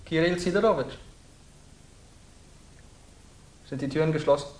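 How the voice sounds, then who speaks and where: Actor playing a fervent young revolutionary student, husky voice. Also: male voice, on the high side, very fast, darkish tone, close to an everyday voice. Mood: urgent, confiding. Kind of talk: 1960s German radio drama acting.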